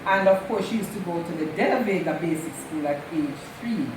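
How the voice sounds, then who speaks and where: A woman, the host's mother, fondly telling stories about her daughter's childhood at an unhurried pace and repeating herself for emphasis. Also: fairly low pitch, lively intonation. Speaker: mother of the channel host, guest. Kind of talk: casual storytelling. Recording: echoey room, distant mic, noisy.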